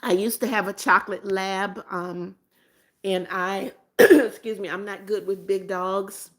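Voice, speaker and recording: A seventy-year-old woman hosting a relaxed livestream, reading viewers' comments aloud and chatting back to each one. Her voice sits at an ordinary middle pitch. She repeats phrases, refers to herself by a motherly nickname, and fills gaps with ums and small restarts. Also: a little slow. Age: 70s. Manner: calls herself Mommy Beth and repeats phrases. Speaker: livestream host. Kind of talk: casual live-stream chat.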